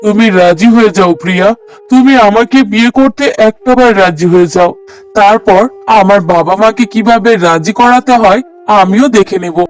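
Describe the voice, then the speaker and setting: Man acting a high-voiced, pleading young suitor. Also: moderate pace, clear, resonant tone, distant mic, natural voice. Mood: earnest pleading. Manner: earnest, persuasive. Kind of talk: dramatic cartoon voice acting.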